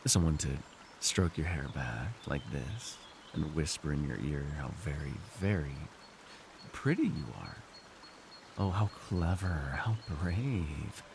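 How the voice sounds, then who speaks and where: A man's voice: extremely low pitch, lively intonation, slow, noisy background, exaggerated voice. A man playing an arrogant, seductive fantasy warlord in an intimate roleplay, teasing and theatrical as he speaks to one listener. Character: arrogant, seductive male fantasy villain warlord, dream-wielding conqueror. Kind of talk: immersive ASMR fantasy roleplay acting.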